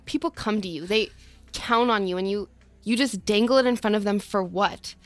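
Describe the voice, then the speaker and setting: A mid-pitched gang member acted by a woman speaks with biting sarcasm and open hostility. It is audio-drama dialogue, recorded very dry. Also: moderate pace, no echo, lightly acted. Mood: angry, accusing. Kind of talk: scripted audio drama acting.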